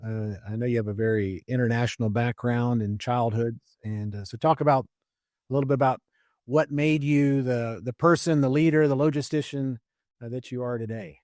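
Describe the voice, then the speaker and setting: A man in his fifties hosts a podcast and introduces his guest with easy enthusiasm. His pitch sits in the middle, and he leans on fillers as he goes. The recording is dry and close-miked. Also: fairly even intonation, moderate pace, a little mellow, no echo. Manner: friendly, filler-heavy, enthusiastic. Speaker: podcast host. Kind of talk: casual interview introduction.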